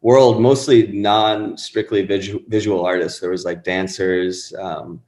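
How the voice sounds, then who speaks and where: A man in his thirties with a very low voice talks loosely, drifting through fillers and restarts. The sound is roomy and echoey, with the space audible around him. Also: little pitch movement, unhurried, a little mellow. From born in Pakistan, raised in Rhode Island. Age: 30s. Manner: rambling, many fillers and restarts. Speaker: musician guest. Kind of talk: casual interview chat.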